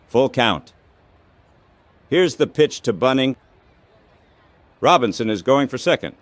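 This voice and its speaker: A man calling baseball play-by-play as an announcer, in short, measured phrases. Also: mid-range voice, no echo.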